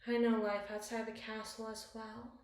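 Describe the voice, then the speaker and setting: A woman's voice: a little high, level tone, normal speed, a little mellow, lightly acted. A woman playing a possessive, cunning evil queen in an ASMR roleplay, speaking in ornate, theatrical monologue to a spouse. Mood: brooding, pointed. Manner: ornate, eloquent, dramatic phrasing. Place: small bathroom, indoors. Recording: very echoey tiled bathroom.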